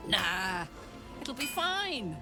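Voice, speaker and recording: A woman's voice: medium pitch, extremely slow. A hearty, blunt British soldier woman. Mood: upbeat. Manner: chatty, blunt, earnest, expressive. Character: hearty female infernal-engine-hearted soldier escaped from the hells. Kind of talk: video game character acting. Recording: game audio with music behind. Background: music.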